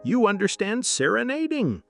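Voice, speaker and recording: A man voicing a brisk, bossy, encouraging donkey in a storybook tale. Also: high voice, sing-song, creaky, soft background music, voice pushed up, full character voice. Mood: eager, persuasive. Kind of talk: storybook character voice.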